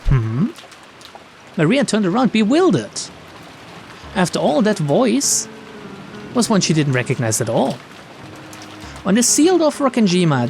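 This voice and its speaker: Expressive high-voiced man reading a visual novel. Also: vocal fry, a little hiss or hum. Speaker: let's play streamer reading a visual novel aloud. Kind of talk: dramatic narration with casual commentary. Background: game music and rain sounds.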